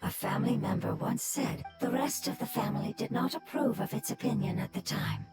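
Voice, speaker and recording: A woman voicing a solemn forest spirit in a video game, speaking in a measured, cryptic way. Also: fairly high pitch, over loud music, natural voice. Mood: cryptic, musing. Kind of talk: scripted game dialogue.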